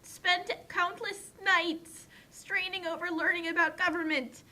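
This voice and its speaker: A woman's voice: extremely high pitch, fairly even intonation, unhurried, sharp tone. A young adult woman giving casual comedic commentary in an emphatic, nerdy, argumentative way.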